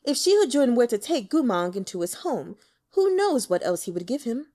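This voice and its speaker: A woman performs a haughty nobleman from a fantasy audiobook, sly and spiteful. His voice is pitched up and swings widely, with a mocking, drawling delivery full of disdain. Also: very animated, moderate pace, warm tone. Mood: sly derision. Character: sly, sneering aristocratic lord, male, spiteful troll.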